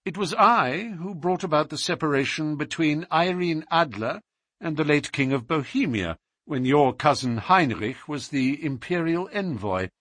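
A man performs a self-assured gentleman detective from a classic mystery story. He speaks with courteous irony and precise phrasing, in a lively, swooping pitch with a slightly husky edge and very little room sound. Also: unhurried, no room sound. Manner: courteous, ironic, precise, unruffled.

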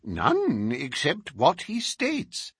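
A man voicing an excitable elderly male collector, eager and breathless. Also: on the high side, drawn-out words, breathy edge, no room sound, clean studio sound, voice pushed up, heavily acted. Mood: mild. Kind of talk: audiobook character reading.

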